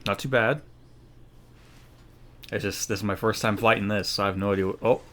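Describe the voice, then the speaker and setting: A man's voice: fairly low pitch, steady tone, fairly quick, fairly bright tone, slight background noise. A man streaming a game, giving casual live commentary with informal reactions and slang. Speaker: gaming streamer.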